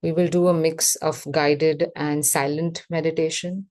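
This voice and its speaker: Low, slow-spoken woman guiding meditation. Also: level tone, darkish tone, no echo. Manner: measured, pausing between short phrases. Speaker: guided meditation facilitator. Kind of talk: guided meditation.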